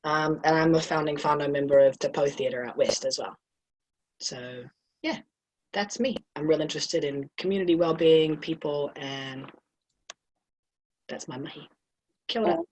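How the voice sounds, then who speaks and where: Guy with a husky voice introducing himself on a video call, laid back, lots of ums. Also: a little high, moderate pace, long pauses, low-quality recording. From United States. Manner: relaxed, with frequent ums. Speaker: actor and director.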